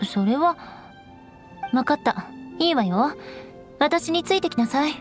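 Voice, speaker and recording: A woman's voice: sits high, expressive, a little slow, music in the background. An actress playing a proud, cool young noblewoman in an anime game, composed and instructive with a haughty edge.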